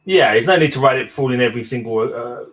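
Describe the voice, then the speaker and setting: A man's voice: medium pitch, fast, fairly bright tone. A man hosting a book discussion in an informal, conversational way. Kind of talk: casual chat.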